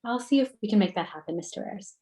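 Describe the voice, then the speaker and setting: A woman's voice: mid-range voice, animated, quick pace, warm tone, natural voice. A woman playing an attentive, motherly hospital worker in a screenplay table read. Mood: courteous, admiring. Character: motherly, attentive medical woman, phlebotomist or doctor.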